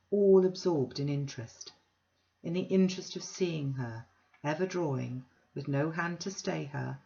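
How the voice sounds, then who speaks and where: A woman reading a classic novel aloud at a measured, unhurried pace with quiet pauses. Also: sits low, creaky, dull audio. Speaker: audiobook reader.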